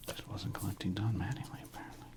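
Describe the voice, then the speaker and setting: A man whispers in a low register, with very heavy creak running through his words. He sounds like an ASMR host thinking aloud, unscripted and rambling, in a relaxed, casual way. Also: fast. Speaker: host of a sports card ASMR channel. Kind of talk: ASMR, unscripted whispered commentary on a card collection.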